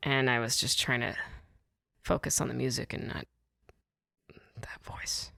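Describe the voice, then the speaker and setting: A woman comedian guest with a deep voice, telling a rambling, giggly story in casual chat. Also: steady tone, unhurried, vocal fry, in a whisper, loud music behind the voice. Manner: giggly, rambling, self-correcting.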